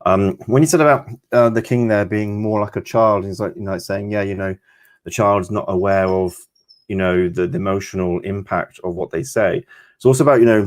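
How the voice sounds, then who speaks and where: A man who reads tarot, discussing the cards conversationally in a reflective, halting way. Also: lowish voice. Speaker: tarot reader.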